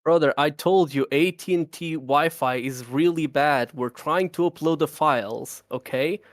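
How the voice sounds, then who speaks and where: Hyped-up dev guy on stream, kinda high voice, keeps repeating himself, dry mic. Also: normal speed, dry recording. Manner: excitable, repeats words, laughs often. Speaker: game developer co-host. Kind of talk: casual livestream Q&A.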